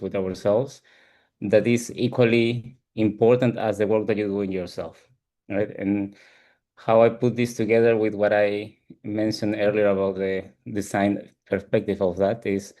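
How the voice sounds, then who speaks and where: Low-pitched man with a Peruvian Spanish accent. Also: warm tone. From Peru. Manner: halting, reflective, often ends with "right?". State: frequent throat clearing. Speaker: ADHD coach and support group founder, interview guest. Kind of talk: explanatory interview answers.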